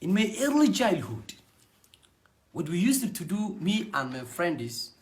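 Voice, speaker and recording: Man, Somali accent, native Somali speaker, young adult, earnest testimonial, halting phrasing, slightly high pitch. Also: sing-song. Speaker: network marketing distributor. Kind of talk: prepared personal testimonial.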